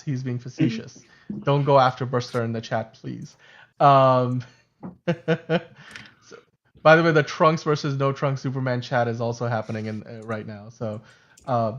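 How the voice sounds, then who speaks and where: Chatty man on a lo-fi stream. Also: medium pitch. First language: Urdu. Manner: chatty, self-correcting, laughs often. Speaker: podcast co-host. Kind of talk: casual livestream chat.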